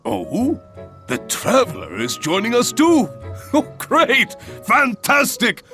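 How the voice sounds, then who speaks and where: Hearty male guild leader for a game: unhurried, extremely expressive, effusive, clear music underneath. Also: exaggerated voice. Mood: delighted, hearty. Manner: booming, effusive, chuckling. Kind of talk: video game voice acting. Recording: music behind.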